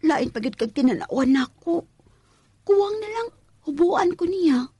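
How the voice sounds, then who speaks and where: High-pitched, flustered Visayan housemaid. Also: female voice, animated, a little slow, mellow, dry recording. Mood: anxious. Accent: Filipino, Visayan. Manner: emotional, exclamatory, scolding, muttering to herself. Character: feisty, flustered female housemaid, indignant and protective of herself. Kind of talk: radio drama acting.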